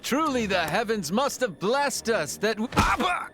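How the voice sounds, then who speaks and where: A man playing a flamboyant, smooth-talking villain in a game cutscene, with a mocking, flowery, theatrical manner. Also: very high-pitched, big pitch swings, measured pace, a bit bright, heavily acted. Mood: gushing, mocking. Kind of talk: video game cutscene acting. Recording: music behind the voice.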